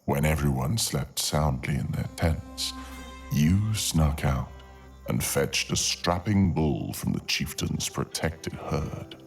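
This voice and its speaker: A man narrating a scripted fantasy tale in an ASMR style, with dramatic, deliberate phrasing and long pauses. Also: a bass voice, animated, vocal fry, soft background music. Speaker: audio storyteller, narrator.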